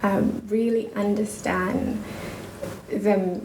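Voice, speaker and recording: A woman's voice: medium pitch, steady tone, drawn-out words, gravelly. A woman from Indonesia, a researcher guest in a casual interview, speaking thoughtfully with many fillers and restarts. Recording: echoey room with noise.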